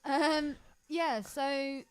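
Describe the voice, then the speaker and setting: A woman's voice: high-pitched, fairly even intonation, extremely slow, rough recording. A woman co-hosting a podcast, bantering informally about films in a teasing, opinionated way. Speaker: podcast co-host. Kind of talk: casual film banter.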